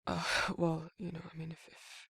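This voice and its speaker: An actor playing a shy, protective werewolf in an intimate romantic ASMR roleplay, with a breathy, husky, hesitant and stammering delivery. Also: mid-range voice, monotone, normal speed, fairly bright tone, dry recording, heavily acted. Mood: bashful, nervous. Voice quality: husky, breathy, gravelly, often whispering. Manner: hesitant, rambling, stammering, bashful. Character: shy, awkward, protective male werewolf, big and tender.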